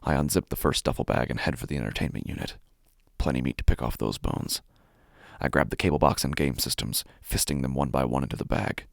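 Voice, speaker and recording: Casting: male actor as a cold, violent burglar narrating horror audio drama; extremely low, heavy vocal fry, intense and deliberate. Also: no echo, studio quality, exaggerated voice. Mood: hushed, calculating. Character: cold obsessive burglar turning savagely violent.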